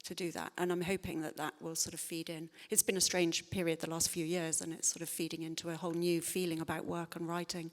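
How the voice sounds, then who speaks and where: A woman's voice: on the low side, flat delivery, quick pace, hardly any pauses, big-room sound. A woman writer answering audience questions in a thoughtful, hesitant way, with many fillers and restarts. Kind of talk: spontaneous public Q&A talk.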